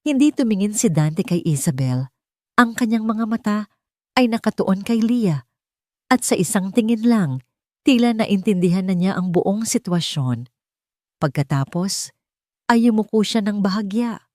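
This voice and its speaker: A woman's voice: mid-range voice, animated, unhurried, pauses often, warm tone, dry recording, clean studio sound. A woman reading a scripted, dramatic romance audiobook as its narrator.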